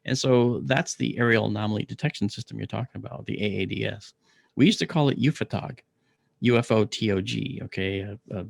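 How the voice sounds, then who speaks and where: A middle-aged man with a deep, gravelly voice from a paralyzed vocal cord, telling a story in an interview, clearing his throat often and using many fillers. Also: no echo. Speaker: interview guest, astronomer.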